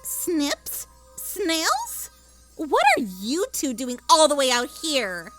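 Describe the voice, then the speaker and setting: A woman doing a bright, curious young filly. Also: high voice, wildly swooping pitch, slow pace, loud music behind the voice, pitched above the performer's own voice, exaggerated voice. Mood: alarmed.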